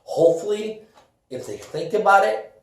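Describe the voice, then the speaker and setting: A man's voice: high voice, expressive, moderate pace, vocal fry. A man chatting casually about games and movies as a podcast co-host, in a rambling, loosely phrased way. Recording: echoey room, distant from the mic.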